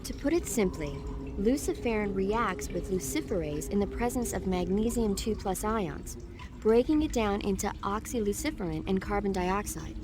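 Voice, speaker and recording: A woman's voice: medium pitch, expressive, moderate pace, natural voice. A woman playing an earnest, bookish young medic who gives precise explanations over the radio in a video game. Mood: patient, explaining.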